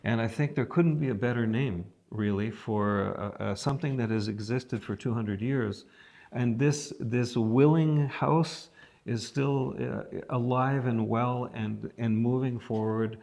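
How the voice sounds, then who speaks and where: A man interviewing an artist, thoughtful and encouraging, with many pauses and ums. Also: medium pitch, vocal fry, a little mellow. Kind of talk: interview, conversational.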